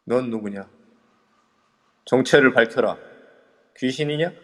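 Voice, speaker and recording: A man's voice: mid-range voice, a little slow, fairly bright tone, lightly acted. A man playing a brash young man leading a ghost-hunting group, commanding and cocky, in an amateur audio drama. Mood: defiant. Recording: long reverb over a voice app.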